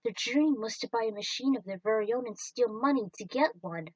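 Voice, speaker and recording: A woman's voice: on the high side, fairly quick, a little mellow, no echo. Woman, casual fan-story reader, offhand delivery, distant mic, dry room. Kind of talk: reading aloud a fan story.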